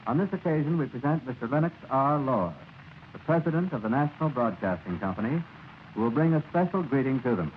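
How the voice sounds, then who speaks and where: Male vintage radio announcer, formal, mid pitch, tinny recording. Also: animated, brisk, a little hiss or hum. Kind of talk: formal scripted 1930s radio introduction. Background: music.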